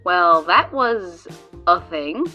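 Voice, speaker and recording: A woman's voice: on the low side, lively intonation, very slow, muffled recording, faint music behind. A woman playing a cocky, mischievous adventurer in an audio drama, taunting and theatrical. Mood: casual. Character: cocky, mischievous female card-playing adventurer, sharp-tongued.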